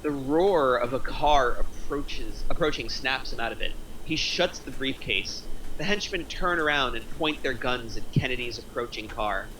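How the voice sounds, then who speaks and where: A man narrates a screenplay's action in a scripted table read. His voice is middle-pitched, bright and forceful, with faint noise in the background. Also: animated, normal speed, low-quality recording. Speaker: narrator reading stage directions.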